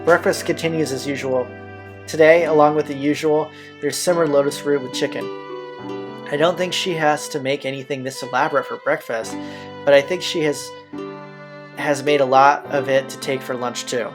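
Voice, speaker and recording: A man's voice: a little high, steady tone, moderate pace. A man hosting a playthrough, reading a visual novel's narration aloud and now and then stumbling on words. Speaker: video game playthrough host. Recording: music behind the voice. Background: game soundtrack music.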